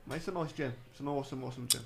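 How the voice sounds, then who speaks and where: A man's voice: medium pitch, fairly even intonation, very fast, vocal fry, a bit bright. An English man in his late thirties chatting casually as a podcast guest, often repeating words and short phrases for emphasis. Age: late 30s. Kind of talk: casual chat.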